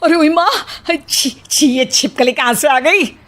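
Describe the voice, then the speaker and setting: A woman's voice: sits high, unhurried, fairly bright tone, no echo. A woman voicing a teasing, jumpy grown son who exclaims theatrically in a cartoon story. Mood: frightened.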